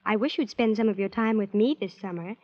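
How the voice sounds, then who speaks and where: A woman's voice: medium pitch, fairly quick, close to an everyday voice. An actress playing a pampered, naive and forthright rich teenage girl in a 1940s radio drama, prim and matter-of-fact. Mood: prim, self-assured. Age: young adult. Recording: dull, muffled 1940s radio recording.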